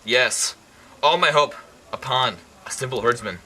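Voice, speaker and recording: A man's voice: mid-range voice, a little slow, bright, forceful tone, rough recording. A young man playing a suspicious, anguished king in an amateur puppet-show tragedy, reciting stiffly with stumbles. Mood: anxious.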